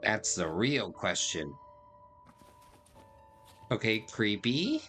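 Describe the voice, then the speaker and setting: A man with a fairly low voice streams a video game. He is laid-back and slangy, chatting to viewers and reading text aloud, with faint music behind him. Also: very animated, very slow, bright, forceful tone.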